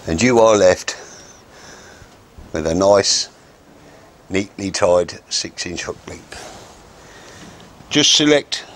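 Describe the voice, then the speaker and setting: A man's voice: deep voice, animated, slow, pauses often, a bit bright. Older man giving plain fishing instructions. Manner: plain, practical and frank, with short step-by-step instructions. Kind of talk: casual how-to demonstration. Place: outdoors in a garden by a wooden fence.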